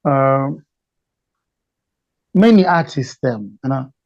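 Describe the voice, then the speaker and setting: A man's voice: medium pitch, animated, slow, no echo, lo-fi sound. A man from Malawi with a Malawian accent, answering conversationally as a musician being interviewed. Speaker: interview guest, reggae singer.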